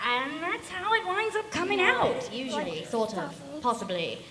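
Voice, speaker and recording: High-voiced woman in an echoey convention hall. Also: very animated, normal speed. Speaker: panelist, voice actor and director of a fan radio play. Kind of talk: convention panel Q&A, casual chat. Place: large indoor convention hall. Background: audience crowd.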